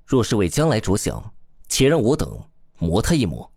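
A man playing an aloof, philosophical demon king in a fantasy audio drama, speaking in measured, formal, archaic phrases. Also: on the low side, big pitch swings, darkish tone, no echo, clean studio sound. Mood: calm, formal.

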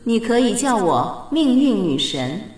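An actress playing a calm, commanding goddess of fate in a Chinese radio drama. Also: female voice, a little high, a little slow, roomy sound, distant mic, faint music behind. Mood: calm, mysterious.

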